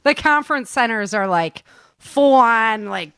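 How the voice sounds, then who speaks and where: A woman's voice: a little high, animated, measured pace, a bit bright, clean tone, dry recording, studio quality. A woman hosting a casual chat, talking in an informal, slangy and effusive way. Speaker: host.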